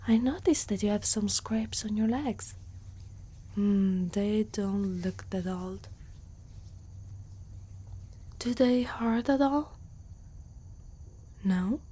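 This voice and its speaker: A woman playing a protective, battle-worn female centaur in a soft, breathy ASMR whisper, speaking gently and intimately. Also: medium pitch, takes it slowly, long pauses. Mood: caring, curious. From Italy.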